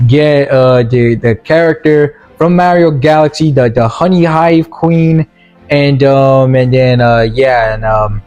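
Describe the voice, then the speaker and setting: A man giving a casual, rambling movie review in a halting, stammering way, with a sarcastic, mock-earnest streak. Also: medium pitch, darkish tone, smooth, faint music behind. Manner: halting, stammering delivery with repeated words. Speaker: video reviewer.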